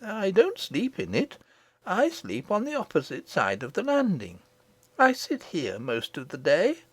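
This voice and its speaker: A woman voicing a kindly, dignified old great-great-grandmother queen in a storybook audiobook, gentle, gracious and composed. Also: fairly low pitch, wildly swooping pitch, a little slow, breathy edge, no echo, voice pushed up, heavily acted. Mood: calm, mysterious.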